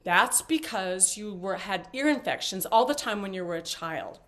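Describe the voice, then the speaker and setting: A woman's voice: medium pitch, expressive, moderate pace, fairly bright tone, natural voice. An actress playing a talkative, flighty, intrusive older mother in a stage play, chirpy and theatrical as she rambles. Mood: playful, needling.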